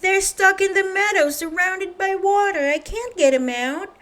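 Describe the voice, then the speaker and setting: A woman's voice: very high voice, unhurried, a bit bright, voice pushed up. A woman voicing a worried male farmer in a children's storybook, with an anxious, earnest manner. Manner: anxious, grateful.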